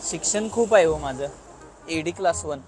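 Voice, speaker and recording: Casting: cheeky, lazy rickshaw driver played by a man, high-pitched male voice, wisecracking cartoon comedy, music underneath. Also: lively intonation, moderate pace, a little mellow, close to an everyday voice. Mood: wry, boastful. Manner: wisecracking, colloquial. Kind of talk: comic cartoon dialogue.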